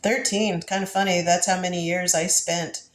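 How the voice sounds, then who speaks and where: A woman's voice: lowish voice, level tone, moderate pace, roomy sound. A woman in her fifties, congested from the flu, musing through a tarot reading in casual spiritual talk, laughing often and repeating herself. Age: 50s. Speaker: tarot reader.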